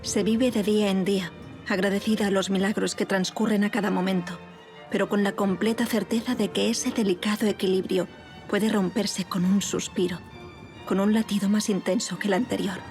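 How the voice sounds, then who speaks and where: A woman's voice: mid-range voice, fairly even intonation, moderate pace, a little mellow, faint music behind, lightly acted. An actress playing an anguished aristocratic woman of revolutionary France who tells her own story in formal, literary language in an audio drama. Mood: somber, reflective. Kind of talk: dramatic audio fiction monologue and dialogue.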